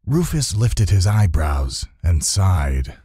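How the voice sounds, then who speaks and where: A male audiobook narrator with a deep, very husky, mellow voice. He reads children's fantasy in a measured storytelling style, recorded close and dry. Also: sing-song, dry recording.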